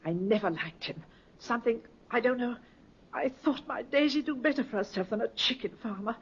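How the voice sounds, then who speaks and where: A woman playing an anxious, disapproving middle-aged mother with a husky voice in an old radio drama. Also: on the high side, animated, brisk. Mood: worried, insistent. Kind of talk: 1950s radio drama acting. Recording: muffled old radio recording.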